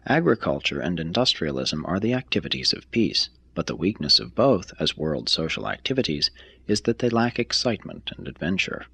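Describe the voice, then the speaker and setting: A man reading a scripted text aloud as an audiobook narrator, with a measured, formal delivery. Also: low voice, sing-song, gravelly, a bit bright, husky, dry recording. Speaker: audiobook reader.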